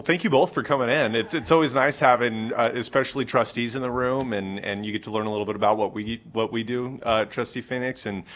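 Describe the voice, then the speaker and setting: A man chairing a board meeting, casual and halting, with many fillers and repeated words. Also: medium pitch, a bit bright.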